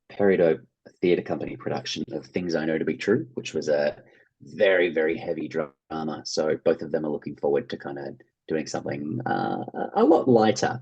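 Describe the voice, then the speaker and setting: A man's voice: rumbling low, gravelly, darkish tone, breathy edge, lo-fi sound. An Australian man answering interview questions in a thoughtful, discursive, conversational way. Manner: thoughtful, discursive, hedging phrasing. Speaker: interview guest, playwright and actor.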